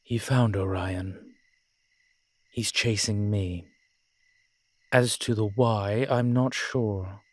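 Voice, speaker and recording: Man playing slow, grave, formal old vampire. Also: fairly low pitch, steady tone. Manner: slow, formal, courtly diction. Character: ancient proud male vampire, formal and grave. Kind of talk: dramatic audio roleplay acting.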